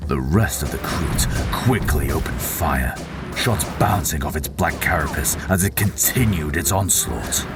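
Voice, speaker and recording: Extremely deep, gravelly male narrator. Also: animated, measured pace. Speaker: audiobook narrator, voice actor. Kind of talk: dramatic scripted fiction narration. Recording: voice over prominent background music.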